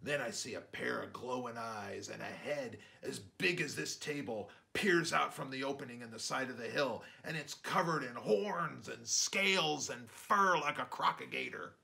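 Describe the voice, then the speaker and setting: A man's voice: mid-range voice, normal speed, vocal fry, few pauses, sharp tone, voice pushed up. A man voicing an agitated rustic rabbit father in a children's storybook, talking in folksy, ungrammatical country phrases. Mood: excited, dramatic.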